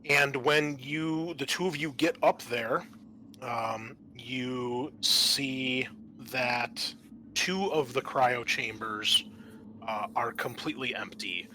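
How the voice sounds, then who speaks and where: A man narrating an improvised tabletop game as game master, halting often mid-sentence. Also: mid-range voice, sharp tone, slight background noise, low-quality recording.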